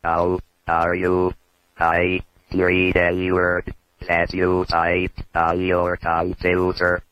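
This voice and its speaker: Early machine voice: buzzy, robotic, slow clipped words, smooth and clean. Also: male voice, deep voice, completely flat delivery, dry recording. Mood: flat. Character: monotone male early speech synthesizer. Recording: dull lo-fi playback.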